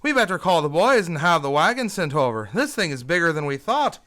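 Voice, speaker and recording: A man's voice: fairly high pitch, sing-song, a little fast, bright, forceful tone. A man playing a tough, wisecracking police detective in an old-time radio drama.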